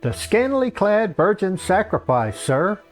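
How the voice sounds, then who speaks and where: Earnest fantasy acolyte, performed by a male reader: raised pitch, obliging, deferential, animated, for a comic storybook. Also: measured pace, soft background music. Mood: proud. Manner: chirpy, obliging, polite.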